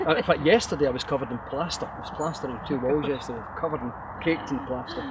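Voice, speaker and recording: A man's voice: mid-range voice, quick pace. Male interview guest, conversational explainer with frequent restarts, distant mic, heavy traffic noise. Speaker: guest speaker and author. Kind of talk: casual interview answers, explaining science. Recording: far from mic, loud outdoor traffic noise.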